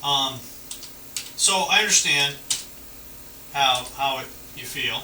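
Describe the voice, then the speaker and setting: A man's voice: medium pitch, takes it slowly, bright, forceful tone. A male police officer talking casually, explaining things in a conciliatory, conversational way. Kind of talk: casual roadside explanation to a detained person. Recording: noisy, roomy, distant mic.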